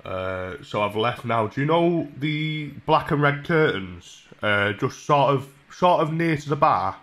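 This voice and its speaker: A man in his twenties with a Northern English accent, talking casually and mock-earnestly as a deadpan, hesitant prank caller at a desk microphone. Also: mid-range voice, a little slow. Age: 20s. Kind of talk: casual prank phone call. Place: small room at home. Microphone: desk microphone on a stand.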